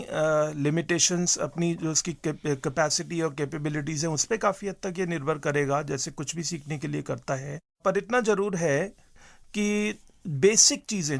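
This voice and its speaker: A man giving measured, halting explanations as an interview guest, mixing in English terms. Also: a little high, gravelly.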